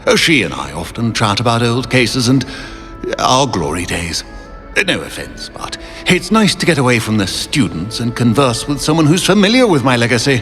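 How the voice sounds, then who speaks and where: A man's voice: mid-range voice, big pitch swings, moderate pace, fairly bright tone. An actor playing a pompous, courtly, nostalgic old British statesman living on as a portrait in a video game. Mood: proud, nostalgic. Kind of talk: video game character acting. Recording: long reverberant hall, music underneath.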